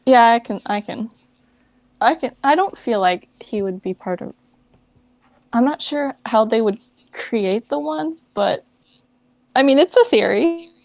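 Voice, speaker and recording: A woman's voice: a little high, lively intonation, unhurried, creaky, pauses often, darkish tone, no room sound. An American woman giving an interview as a guest, chatting informally and thinking aloud. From United States. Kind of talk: casual chat.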